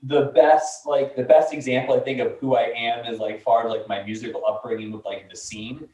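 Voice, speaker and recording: A man's voice: mid-range voice, fairly even intonation, brisk, mellow. A man in his late thirties telling stories in a laid-back, rambling way with lots of fillers, recorded off-mic in a room. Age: 30s. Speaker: band member. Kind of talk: casual chat. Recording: roomy, distant, dull mic.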